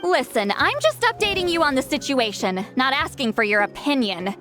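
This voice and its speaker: A woman's voice: high voice, animated, moderate pace. An actress playing a blunt, aloof shaman woman in a video game, curt and dry in manner. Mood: dismissive, matter-of-fact. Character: ancient but youthful-sounding shaman woman, blunt, aloof, prickly. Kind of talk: English video game voice acting. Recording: faint background music under the voice.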